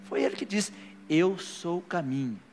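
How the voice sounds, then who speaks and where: A man's voice: high voice, big pitch swings, moderate pace, vocal fry, darkish tone. A male pastor preaching a sermon to a live congregation and leading a prayer, his delivery rising to fervent declarations. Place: church hall, live before a congregation. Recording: long hall reverb with faint music.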